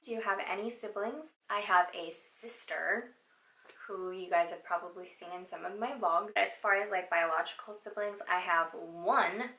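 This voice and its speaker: A woman's voice: mid-range voice, normal speed, sharp tone, reverberant, poor audio. A woman in her thirties from Southern California, chatting sassily and playfully through a get-to-know-me question video. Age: 30s. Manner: sassy, playful, exclamatory. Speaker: vlogger. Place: home, sitting down.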